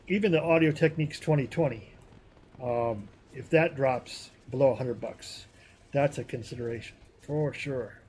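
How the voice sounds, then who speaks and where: A man chatting casually while live-streaming a game, rambling with many fillers, with a somewhat high, harsh voice. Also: darkish tone, slight background noise. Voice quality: higher, harsher voice.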